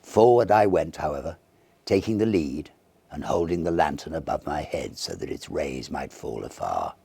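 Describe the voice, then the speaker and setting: Male narrator, very low voice, husky and gravelly, theatrical Victorian ghost story reading, deliberate phrasing. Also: expressive, warm tone, no room sound. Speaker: audiobook narrator and reader.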